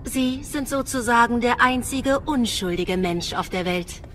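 Female android envoy, higher register, formal, coolly contemptuous radio-drama villain, faint background noise. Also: unhurried, a bit bright. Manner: formal, condescending.

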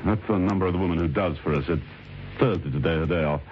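Actor as a low-voiced, hesitant middle-aged husband in radio drama. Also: male voice, lightly acted. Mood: feigning illness. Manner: hesitant, halting speech with small stammers. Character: outwardly polite, calculating middle-aged husband and office boss. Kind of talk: old radio drama acting. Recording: dull, hissy old radio recording.

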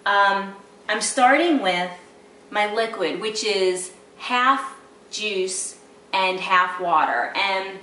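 A woman's voice: medium pitch, extremely slow, bright, forceful tone. A middle-aged woman hosting a casual cooking demonstration in her home kitchen, explaining steps in a chatty, instructive way with fillers and asides. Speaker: cooking show host. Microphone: camera mic from across the room. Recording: roomy, distant camera audio with light background noise.

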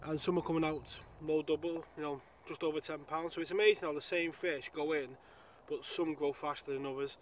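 A young man talks through his catch in a chatty, upbeat way, with lots of fillers. His voice sits a little high. He is recorded outdoors on a pond bank through a nearby action camera, with a faint outdoor hum behind him. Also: fairly even intonation, brisk, few pauses. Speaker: angler vlogger. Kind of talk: casual outdoor commentary. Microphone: action camera mounted nearby.

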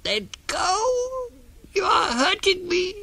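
A man's voice: mid-range voice, big pitch swings, normal speed, no room sound, pitched above the performer's own voice. A man voicing a polite, innocent young elephant in a storybook, earnest and breathless. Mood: pained, nasal. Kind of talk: storybook character voice.

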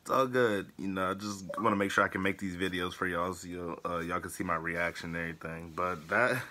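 Young American man, low pitch, bright forceful tone, congested, casual reaction vlog chat. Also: fairly even intonation, brisk. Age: 20s. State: congested with a head cold. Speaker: YouTube reaction host. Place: small wood-panelled bedroom.

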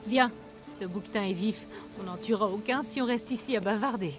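A woman's voice: medium pitch, brisk, lightly acted. Tender young huntress, played by a woman, over music. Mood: confident, friendly. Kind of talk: dramatic game dialogue. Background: background music.

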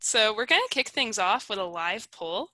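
A woman's voice: mid-range voice, lively intonation, normal speed, bright, forceful tone, dry recording. A woman in her thirties hosting a webinar on a video call through a headset mic, bubbly and encouraging as she guides the audience. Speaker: webinar host. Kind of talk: upbeat webinar moderation.

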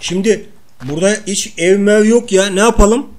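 A man's voice: high-pitched, normal speed, darkish tone, lightly acted. A man playing a clumsy, whining comic everyman with slangy talk in a playful gameplay role-play. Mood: easygoing, slangy. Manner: slangy, complaining, giggly.

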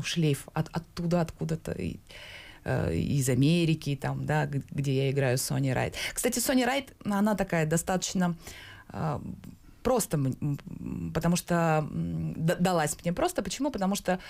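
Brief: thirties woman, actress guest on a studio mic, lowish voice, halting and filler-heavy. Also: animated, vocal fry, a little mellow. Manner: hesitant, many fillers and restarts, laughs often. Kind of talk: live radio and TV studio interview. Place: small radio studio. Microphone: studio boom mic.

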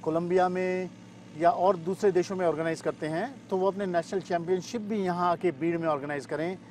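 A middle-aged man gives interview answers in a fairly high voice. He mixes English terms into his speech, recorded in an open field with clear outdoor noise around him. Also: fairly even intonation, brisk, a little mellow. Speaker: paragliding pilot and event weather expert, interview guest. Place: open grassy field in the mountains.

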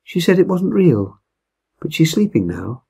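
An older man voicing a kindly mother bear from a fairy tale, gentle but firm and reassuring. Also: on the high side, very animated, unhurried, vocal fry, breathy edge, pitched above the performer's own voice, heavily acted.

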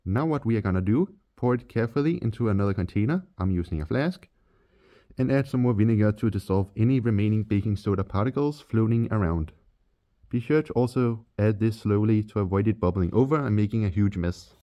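A man's voice: on the low side, a little fast, lo-fi sound. A man from Denmark with a Danish accent, giving a step-by-step chemistry voice-over for his channel. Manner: plain, step-by-step instructional. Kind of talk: scripted voice-over tutorial.

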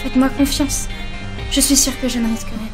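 A woman's voice: high voice, steady tone, a little fast, lightly acted. A woman playing a brave, loyal fantasy heroine in an audio drama, earnest, formal and reassuring. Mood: resolute. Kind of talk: French audio drama acting. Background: music.

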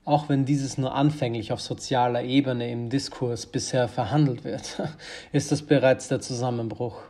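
A brusque, emphatic strategist acted by a man in an audio drama. The voice is dark and mellow at a medium pitch, with formal, forceful wording. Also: vocal fry. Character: brusque, vehement male lobby strategist.

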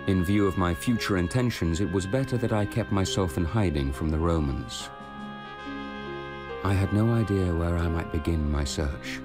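An actor playing a weary, grieving young Judean nobleman who narrates his past in a radio drama, measured and solemn. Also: deep voice, over music, natural voice. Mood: weary, sorrowful.

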